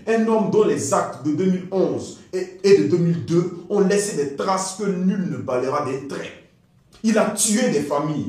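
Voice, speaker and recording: A man delivering a fiery political speech in a forceful, declamatory way with emphatic repetition. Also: on the high side. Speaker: political activist. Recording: very echoey, reverberant sound.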